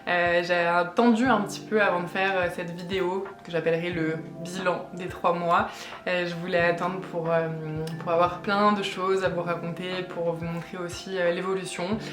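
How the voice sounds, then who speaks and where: A woman's voice: lowish voice, reverberant. Chatty French woman in her late twenties. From France. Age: 20s. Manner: chatty, hesitant with many fillers. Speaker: vlogger. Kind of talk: casual vlog chat.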